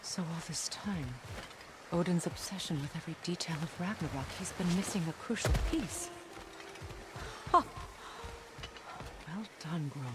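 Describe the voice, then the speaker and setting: A woman's voice: fairly low pitch, a little slow, long pauses, close to an everyday voice. An actress playing a proud, grieving mother goddess and warrior in a video game, bitter and cutting, with formal, intense delivery. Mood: sharp, surprised. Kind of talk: dramatic video game acting. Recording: loud game noise behind voice.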